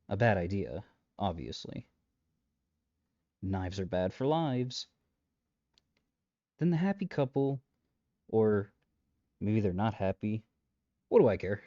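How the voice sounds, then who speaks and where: A man's voice: on the low side, warm tone, no echo, lo-fi sound. A man playing a shady, flippant matchmaker in a comedic audio roleplay, rambling sarcastically to the listener with breezy menace. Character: shady, flippant male matchmaker coercing a trapped customer.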